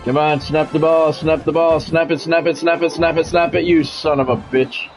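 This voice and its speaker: A man's voice: fairly high pitch, little pitch movement, normal speed, faint music behind. Middle-aged American man streaming football commentary. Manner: blunt, profane, grumbling. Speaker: game streamer and sports play-by-play announcer. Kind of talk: casual livestream chat mixed with football play-by-play commentary.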